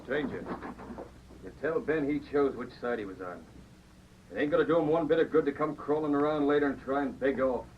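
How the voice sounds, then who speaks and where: A blustering Old West rancher villain, acted by a man, swaggering through folksy cowboy talk at a middle pitch. The 1940s radio drama recording is muffled. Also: fairly quick, noisy background, close to an everyday voice. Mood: angry, threatening. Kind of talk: 1940s radio western acting.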